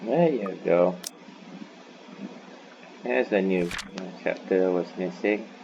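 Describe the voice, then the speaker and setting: Dull-sounding male gamer, halting commentary. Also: low-pitched, vocal fry, noisy background. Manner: halting, repeats phrases for emphasis. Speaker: gaming video host. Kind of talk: casual playthrough commentary.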